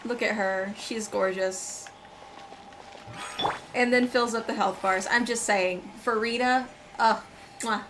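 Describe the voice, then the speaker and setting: High-voiced woman streaming a game. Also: fairly even intonation, slow pace, long pauses, background noise. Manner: chatty, talks to herself and viewers while playing. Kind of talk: casual live gameplay commentary. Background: game music.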